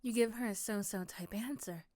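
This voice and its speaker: A woman's voice: medium pitch, moderate pace, mellow, no room sound. A woman reading scripted second-person narration for an ASMR roleplay story.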